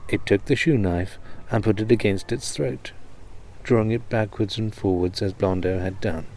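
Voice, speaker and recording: A man with a fairly low voice serves as storyteller, reading an old comic tale aloud. His delivery is that of a narrated storybook. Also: moderate pace, a little mellow, slight background noise.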